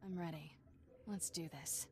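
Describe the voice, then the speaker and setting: A low-pitched, sardonic young Jedi woman, as an actress voices her for a space-fantasy game. She talks with dry wisecracks. A steady hiss sits under the game audio. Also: close to an everyday voice. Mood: wry. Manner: sarcastic, wry, quick-witted. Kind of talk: video game dialogue.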